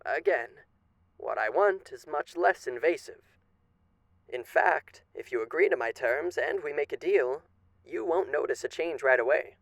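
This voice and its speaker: A man's voice: on the high side, lively intonation, measured pace, vocal fry, fairly bright tone, no echo, full character voice. A man playing a suave, menacing radio demon in an ASMR roleplay, speaking with genteel, teasing, theatrical politeness. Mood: coaxing, condescending.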